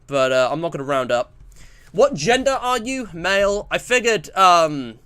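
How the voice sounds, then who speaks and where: A man's voice: high voice, big pitch swings, normal speed, sharp tone, smooth. A twenty-year-old English man chatting casually on a livestream, rambling and often interrupting himself as he reads and comments. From England. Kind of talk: casual livestream chat, reading out survey and viewer messages.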